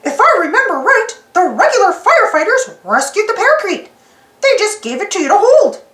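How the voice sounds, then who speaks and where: A woman voicing a cheerful, sensible male cartoon mouse who talks earnestly and corrects gently. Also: very high voice, big pitch swings, brisk, reverberant, voice pushed up, exaggerated voice. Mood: gently correcting.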